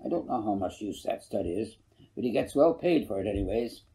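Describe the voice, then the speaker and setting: A man's voice: on the low side, lively intonation, a little fast, warm tone, lightly acted. A man voicing a folksy, good-humored older farmer who rambles and corrects himself, in a storybook reading. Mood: folksy, rambling. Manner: rambling, homespun, self-correcting. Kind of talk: audiobook character voice.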